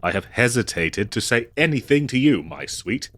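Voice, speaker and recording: A man playing a prim, devoted, fretful 19th-century husband in a comedic audio roleplay, speaking in florid, halting, theatrical old-fashioned phrases. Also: medium pitch, lively intonation, fairly bright tone, dry recording. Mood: anxious, grave.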